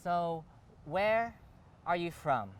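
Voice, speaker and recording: A man's voice: high voice, animated, very slow, a little hiss or hum. A man from the Bay Area performing spoken word poetry in a duet, with a rhythmic, emphatic delivery. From Bay Area, California.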